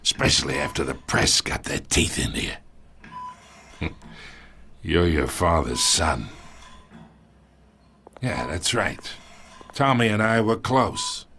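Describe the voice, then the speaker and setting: An actor playing an aging, smug mob boss in a video game, with a slow, taunting drawl. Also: male voice, animated, sharp tone, full character voice. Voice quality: gravelly, low drawl. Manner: slow, taunting, chuckles between lines.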